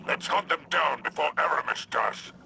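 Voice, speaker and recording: A man playing a sly, greedy alien crime boss in a video game, with a gravelly voice and a wry, self-important drawl. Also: fairly high pitch, moderate pace, sharp tone, a little hiss or hum, full character voice, low-quality recording. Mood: wry, grudging. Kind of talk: video game character acting.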